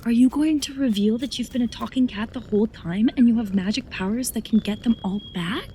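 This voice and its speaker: A woman's voice: a little high, fairly quick, warm tone, slight background noise, natural voice. A woman playing a blunt, sarcastic, bossy young travel companion in an audio drama. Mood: exasperated.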